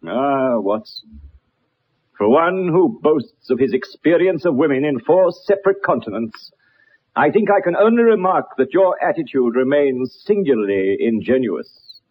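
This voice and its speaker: An actor playing a haughty, precise gentleman detective with a British accent in a radio drama, speaking in formal, pompous phrases. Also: medium pitch, expressive, a little slow, slightly rough. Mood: disapproving. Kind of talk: radio drama acting with first-person narration. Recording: dull lo-fi old radio recording.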